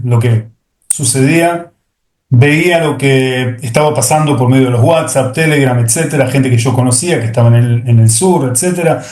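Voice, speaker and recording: A middle-aged man, speaking as an interview guest and pausing often with fillers and restarts. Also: medium pitch, a little mellow, echoey room. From Argentina. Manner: hesitant, many fillers and restarts. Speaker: guest, software executive. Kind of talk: interview conversation.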